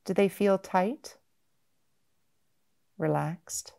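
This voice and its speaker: Slow, low-pitched woman guiding meditation. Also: vocal fry. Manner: gentle, measured, with long pauses between short phrases. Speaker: mindfulness meditation guide. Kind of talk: guided body-scan meditation.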